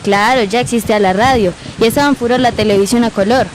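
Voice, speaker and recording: A woman's voice: on the high side, fast talker, slight background noise, lightly acted, poor audio. A woman playing an old, plainspoken Colombian grandmother, a former housemaid telling her memories in an amateur radio drama. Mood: nostalgic storytelling. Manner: folksy rural storyteller speech.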